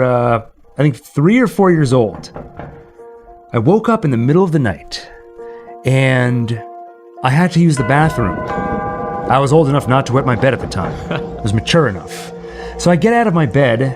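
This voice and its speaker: Animated male livestream host, lively pitch. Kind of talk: casual storytelling and chat to livestream viewers.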